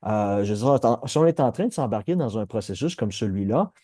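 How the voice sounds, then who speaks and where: Man, Québécois accent, mellow tone, dry close sound, analytical podcast talk, halting restarts. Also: on the low side, lively intonation, no echo. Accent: Québécois French. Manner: discursive, frequent restarts and hesitations. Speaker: podcast host. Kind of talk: analytical political discussion.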